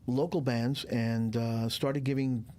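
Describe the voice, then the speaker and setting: A man in his sixties from Cuba, a guest telling stories in a relaxed, rambling way with many fillers. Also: mid-range voice, slow. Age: 60s. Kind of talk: casual interview chat.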